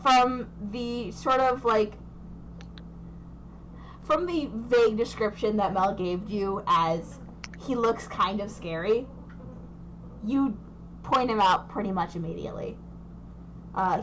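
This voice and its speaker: A woman's voice: medium pitch, fairly bright tone, slight background noise, low-quality recording. A young woman running a tabletop game over voice chat, describing scenes in a rambling way with many fillers. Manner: rambling, lots of fillers and restarts. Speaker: game master.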